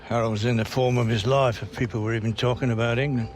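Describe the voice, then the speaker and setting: A man's voice: medium pitch, fairly even intonation, fast talker, natural voice. A man playing a plain-spoken, caring older relative in a video game cutscene. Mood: somber, reminiscing. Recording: game dialogue over faint music.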